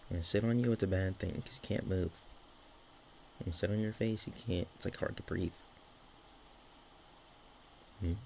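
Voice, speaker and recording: A man's voice: low-pitched, moderate pace, creaky, long pauses, a little mellow, low-quality recording. A man voicing a bashful, affectionate dragon girl in an intimate ASMR roleplay, stammering and hesitant. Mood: teasing, threatening. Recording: faint background noise.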